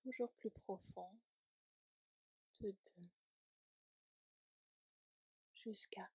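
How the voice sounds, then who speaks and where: A woman leading a guided yoga nidra relaxation in a soft, breathy, soothing voice, gently trailing off at times toward a whisper. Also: mid-range voice, very slow, no echo, rough recording.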